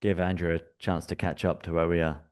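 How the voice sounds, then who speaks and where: A man from the UK with a British accent, presenting a live software workshop in a relaxed, chatty way, with many fillers and restarts. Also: deep voice, a little fast, clean tone. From United Kingdom.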